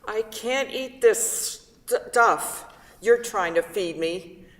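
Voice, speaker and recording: A woman's voice: medium pitch, slow, a bit bright. A woman playing a stubborn, blunt, dying mother in a staged play reading. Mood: angry, defiant. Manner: blunt, demanding. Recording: distant, echoey hall, background noise.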